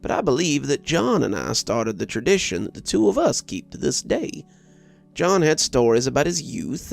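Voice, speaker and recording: Guy reading a story, reflective, gravelly creak in his voice, pitch moves around a lot, faint music. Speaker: narrator reading a horror story. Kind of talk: scripted first-person story narration.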